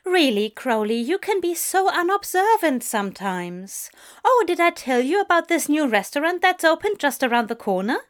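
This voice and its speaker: A prim, easily flustered male angel from an audio story, played by a woman. The voice is pitched high and lilts widely, fussy and proper in its delivery. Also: very animated, moderate pace. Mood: prattling. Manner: fluttery, proper, hesitant when flustered.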